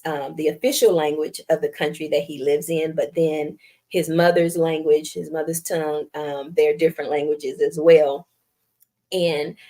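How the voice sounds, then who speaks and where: A woman's voice: sits low, mellow. Giggly Southern woman, youthful voice. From United States. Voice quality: youthful, light. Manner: chatty, giggly, self-deprecating, reads viewer comments aloud. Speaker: live stream host. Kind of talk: casual live stream chat.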